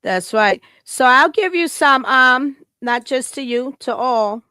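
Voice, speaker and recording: A woman from Chicago co-hosts a live podcast in a smooth, clear voice, recorded close and dry with no room sound. She talks in a casual, heartfelt way and moves easily into reading her own written words aloud. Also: high-pitched, animated, slow, sharp tone, no room sound. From Chicago, United States. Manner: heartfelt, reads a written tribute aloud, laughs often. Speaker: podcast co-host.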